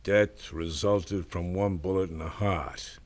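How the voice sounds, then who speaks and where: Man, police assistant, lower pitch, matter-of-fact, 1970s radio drama. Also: steady tone, takes it slowly.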